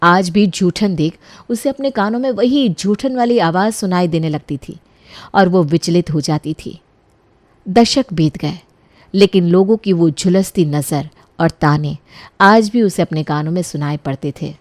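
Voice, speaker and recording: A woman's voice: on the low side, measured pace, a little mellow, dry recording. A woman giving scripted, dramatic narration of a story.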